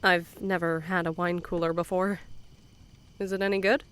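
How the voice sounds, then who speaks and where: A woman's voice: fairly low pitch, little pitch movement, moderate pace, a bit bright, clear, resonant tone, lightly acted. A woman playing a serious, cautious young female camp counselor in an audio drama, earnest and plainspoken. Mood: tentative.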